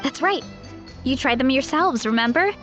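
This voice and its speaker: A woman's voice: sits high, lively intonation, moderate pace, highs cut off, loud music behind the voice. An actress playing a cheerful, easygoing young orchard girl in a video game, friendly and casual. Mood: friendly, explaining. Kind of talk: English video game voice acting.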